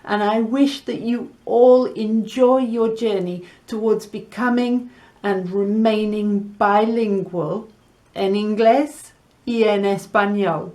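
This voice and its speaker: A woman reading to children and teaching in a deliberate, teacherly way, mixing Spanish words into English. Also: medium pitch, steady tone, a little mellow. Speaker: storyteller and language teacher host. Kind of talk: children's story reading and lesson chat.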